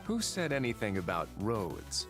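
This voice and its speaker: A man playing a composed, wry duke in an anime game, with a dry, teasing, relaxed manner. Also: lowish voice, measured pace. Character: wry, composed male duke who runs a prison fortress. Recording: music playing behind the voice.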